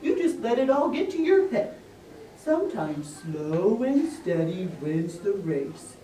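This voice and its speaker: A woman voicing a patient, modest tortoise in a puppet show, speaking in a steady, unhurried way. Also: medium pitch. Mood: calm reassurance. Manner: slow, soft, repetitive, unhurried. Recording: echoey, distant mic, faint noise.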